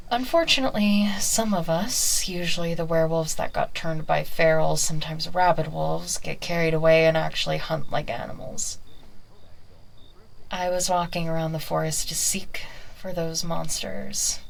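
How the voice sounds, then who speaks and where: A woman's voice: sits low, vocal fry, low-quality recording. A woman voicing a friendly, protective young half-human werewolf in a conversational ASMR roleplay, a little defensive in manner. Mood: reassuring, explaining. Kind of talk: ASMR roleplay, acted monologue to the listener.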